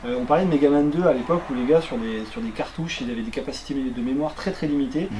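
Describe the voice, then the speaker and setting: A man's voice: mid-range voice, fast talker, darkish tone, slight background noise, low-quality recording. A man hosting a radio show, chatting casually and joking as he presents.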